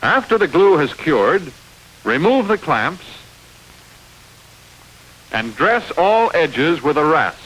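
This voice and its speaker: Male narrator, instructional script, lively intonation, fairly high pitch, step-by-step delivery, old film soundtrack. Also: slow, long pauses, husky, low-quality recording.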